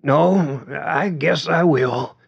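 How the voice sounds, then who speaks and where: A man's voice: on the high side, drawn-out words, gravelly, slightly rough, lo-fi sound, pitched above the performer's own voice, exaggerated voice. A man voicing a frail, confused, fretful old woman with a quavering voice, as character dialogue in an audiobook. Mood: querulous. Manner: fretful, disdainful.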